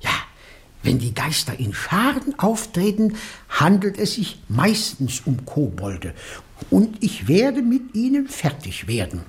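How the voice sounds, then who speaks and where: An actor playing an elderly, hesitant, absent-minded English country priest in a German radio drama. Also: male voice, high-pitched, huge pitch swings, takes it slowly, a little hiss or hum, heavily acted. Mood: flustered, eager. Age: older. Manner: hesitant, rambling, repeats himself, absent-minded.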